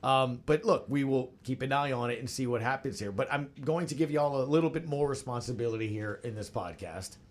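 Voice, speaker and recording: A man's voice: medium pitch, a little fast, few pauses. A man hosting a sports podcast, casually moderating a roundtable and putting questions to the panel. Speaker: podcast host. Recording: clear background noise.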